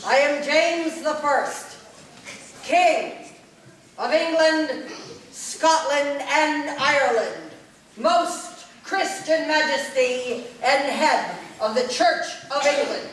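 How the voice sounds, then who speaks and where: Guy doing snooty puppet king, slow and pompous, high voice, noisy roomy recording. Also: fairly bright tone, exaggerated voice. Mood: imperious. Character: haughty, pompous King James of England puppet. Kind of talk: puppet show acting. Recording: echoey live room, distant mic, noisy.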